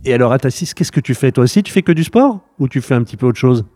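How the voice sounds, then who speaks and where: A man's voice: medium pitch, lively intonation, fast talker, mellow. A man interviewing students at a school, talking loosely and hesitantly with many fillers and restarts. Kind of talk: informal interview.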